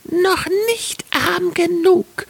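A man's voice: extremely high pitch, extremely slow, creaky, no echo, pitched above the performer's own voice, full character voice. A man voicing a small, vengeful, taunting sparrow in a fairy tale.

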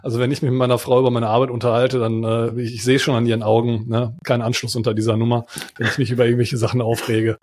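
A man's voice: medium pitch, flat delivery, fast, a little mellow, slight background noise. A German man co-hosting a podcast in casual chat, rambling with fillers and restarts. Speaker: podcast co-host.

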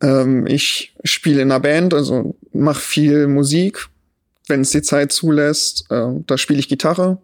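A man in his thirties with a Rhineland German accent, a journalist answering podcast questions in a relaxed, thoughtful way with frequent ums. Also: fairly high pitch, a little slow. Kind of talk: podcast interview.

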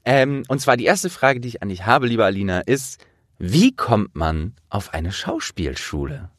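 Guy in his 30s doing a casual podcast interview, really lively pitch, jovial, dry sound. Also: a little slow, no echo.

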